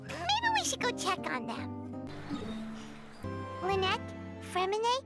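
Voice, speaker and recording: A tiny cartoon fairy sidekick for a video game. The voice is extremely high, squeaky and childlike, swinging eagerly up and down with curiosity over loud music. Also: female voice, huge pitch swings, slow, exaggerated voice. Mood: concerned. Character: tiny childlike female fairy companion, chirpy, curious, speaks in third person. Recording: prominent music under the voice.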